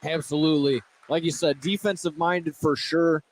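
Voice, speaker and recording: A man's voice: fairly high pitch, fairly even intonation, moderate pace, rough recording. An American man giving colour commentary during a live basketball game. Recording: clear gym noise behind voice. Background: sports venue ambience.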